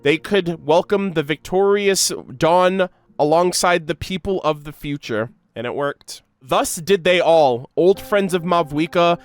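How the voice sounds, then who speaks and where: A man's voice: on the high side, measured pace, clean tone, no echo, soft background music. A man streaming his reaction to game lore, reading story text aloud and commenting casually in an emotive, slangy way.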